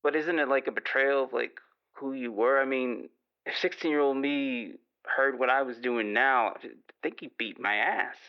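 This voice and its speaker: A man playing a nervous, self-doubting baker calling a radio show in an improvised audio drama, halting, rambling and apologetic, on a slightly muffled line. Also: medium pitch, clean tone, close to an everyday voice. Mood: conflicted, troubled. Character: nervous, self-doubting male baker who longs to be an artist.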